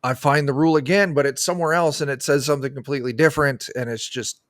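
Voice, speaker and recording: A man's voice: mid-range voice, steady tone, fast talker, no echo, clean studio sound. A middle-aged man, a guest game designer, chatting casually in an interview on a video call. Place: indoor room, on a video call.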